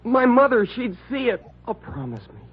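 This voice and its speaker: A man's voice: very high-pitched, very animated, normal speed, slightly rough, exaggerated voice. A young man with an American accent playing a frightened, pleading car thief, whining and desperate, in a 1950s radio drama. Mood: pleading, defensive. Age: young adult. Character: frightened, pleading twenty-year-old male car thief. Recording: dull, lo-fi old radio recording.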